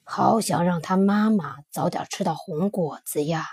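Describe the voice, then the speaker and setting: A woman voicing a big, gruff male tyrannosaurus in a children's storybook, slow and low with a husky growl. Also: a little mellow, no echo, clean studio sound.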